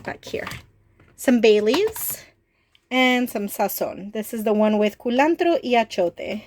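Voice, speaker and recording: A woman's voice: on the high side, darkish tone, a little hiss or hum. A woman with a stuffy head cold, chatting casually through a cooking vlog in a small RV kitchen, with lots of "like" and "um". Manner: chatty, with many "like" and "um" fillers. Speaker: RV travel vlogger.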